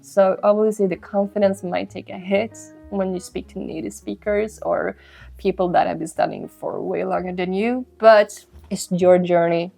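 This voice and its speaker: A young woman, a native Swedish speaker, talks straight to her viewers with earnest encouragement. Her tone is soft and mellow, with a gravelly fry in her voice. Quiet music plays underneath. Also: medium pitch, steady tone, moderate pace. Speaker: language-learning video host. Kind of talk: motivational talk to camera.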